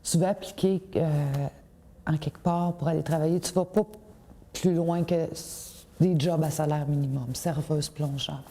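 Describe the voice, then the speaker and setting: A woman's voice: deep voice, steady tone, moderate pace, warm tone. A woman in her thirties with a Québécois accent, speaking bluntly in an interview. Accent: Québécois French. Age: 30s. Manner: blunt, frank. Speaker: former school dropout. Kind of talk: interview testimony.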